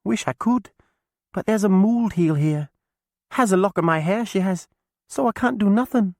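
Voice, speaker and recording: Earnest young storybook girl, done by a male reader, high and plain. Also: moderate pace, a little mellow, dry recording, clean studio sound.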